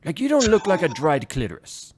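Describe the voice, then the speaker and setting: Man putting on a high-pitched, emphatic Romanian guy. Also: wildly swooping pitch, a little mellow, slight background noise. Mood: blunt, earnest. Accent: put-on Romanian. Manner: halting, emphatic, repeats himself. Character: boozy, blunt, generous Romanian tech reviewer man. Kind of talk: impression in a comic anecdote.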